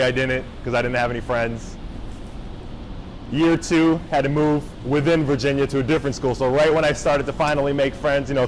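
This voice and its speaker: A young man from Japan giving a casual motivational talk to high school students, often checking in with the crowd. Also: a little hiss or hum, poor audio. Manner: casual, engaging, checks in with the audience. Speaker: motivational speaker. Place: high school gathering space.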